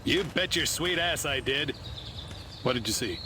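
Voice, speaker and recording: An actor playing a stiff, skeptical army subordinate in a video game, hesitant and incredulous. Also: male voice, mid-range voice, lively intonation, measured pace, sharp tone, slight background noise. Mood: unsure, disbelieving. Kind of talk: video game cutscene acting.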